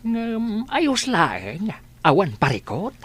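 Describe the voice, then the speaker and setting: A man plays a kindly old father in a radio drama. The voice is raspy and worn, with a very animated melody as he consoles his grown child. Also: high voice, takes it slowly, heavily acted. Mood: consoling. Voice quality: husky, worn.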